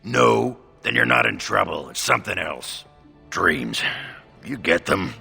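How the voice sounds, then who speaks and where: Very deep, gravel-throated dwarf warrior. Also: male voice, sing-song, measured pace, sharp tone, faint music behind, full character voice. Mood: gruff, uneasy. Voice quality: gravelly, growling. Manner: blunt, rambling, crude. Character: gruff, blunt, boozy male dwarf warrior. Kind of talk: video game voice acting.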